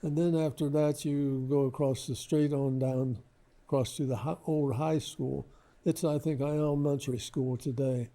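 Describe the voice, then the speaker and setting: An older man, reminiscing casually as an interview guest in a halting, modest, rambling way. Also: mid-range voice, steady tone, dark tone. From Lebanon, Ohio, United States.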